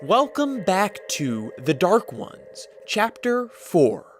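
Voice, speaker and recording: A man's voice: sits high, sing-song, slow, soft background music. A man narrating a scripted radio drama as its storyteller, in a dramatic style.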